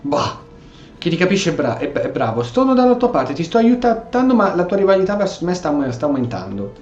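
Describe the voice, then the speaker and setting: A man's voice: sits high, sing-song, fast, a little mellow. A man with an Italian accent doing casual, informal gameplay commentary as a video host. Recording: dull, roomy sound, music behind.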